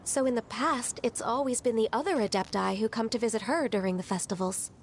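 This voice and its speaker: Reserved, polite young fantasy woman for a game, female performer, high pitched, measured, faint noise behind. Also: lightly acted. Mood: thoughtful. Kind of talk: video game character acting.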